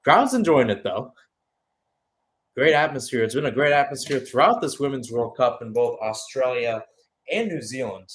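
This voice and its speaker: Guy doing live soccer radio play-by-play, medium pitch voice, kinda chatty analysis between the calls. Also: animated, moderate pace. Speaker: play-by-play football commentator. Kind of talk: live radio sports commentary.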